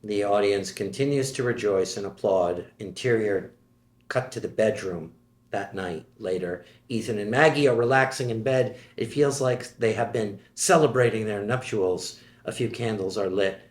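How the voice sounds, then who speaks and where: A man reading the stage directions of a screenplay at a table read, in a plain, steady way. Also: on the low side, moderate pace, echoey room.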